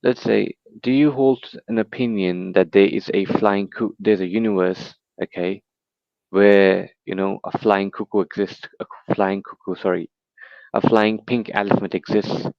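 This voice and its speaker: Caller: deep, gravelly man from Pakistan, pressing hard with challenging questions over a muffled lo-fi line. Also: fairly even intonation, normal speed, no echo. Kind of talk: call-in debate questioning.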